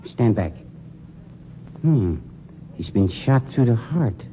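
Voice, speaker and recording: A man's voice: medium pitch, lively intonation, slow. An actor playing a polished, scheming funeral director who talks down to his night man, in 1940s radio drama style. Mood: menacing. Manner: smooth, condescending, sneering. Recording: dull, noisy old radio recording.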